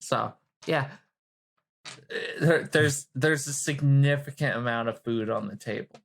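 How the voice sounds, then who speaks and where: Playful, gravelly-voiced woman bantering casually. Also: a bass voice, unhurried. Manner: playful, teasing, informal. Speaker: player in a tabletop roleplay podcast. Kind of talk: casual improvised roleplay chat.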